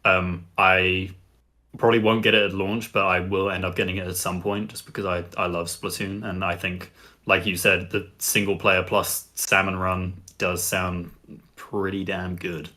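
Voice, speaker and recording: A man's voice: sits low, flat delivery, moderate pace, pushed and bright. A man chatting casually as a co-host on a podcast. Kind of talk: casual chat.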